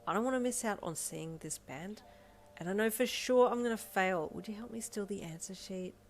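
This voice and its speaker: A woman's voice: mid-range voice, normal speed, faint music behind, voice pushed up, natural voice. A woman voicing a chatty, scheming teenage classmate who talks in a casual, conspiratorial way.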